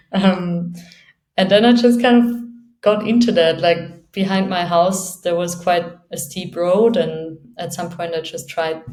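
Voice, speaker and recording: A woman's voice: fairly low pitch, fairly even intonation, slow pace, a little mellow, echoey room. A young woman from Austria with an Austrian accent, an athlete talking casually in an interview and laughing often between phrases. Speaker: guest, downhill skateboarder.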